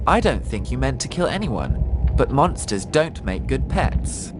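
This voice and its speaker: Cold teenage prefect, accusing, varied pitch, heavy background noise, game cutscene. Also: male voice, sing-song, unhurried. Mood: cold, commanding. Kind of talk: video game cutscene voice acting.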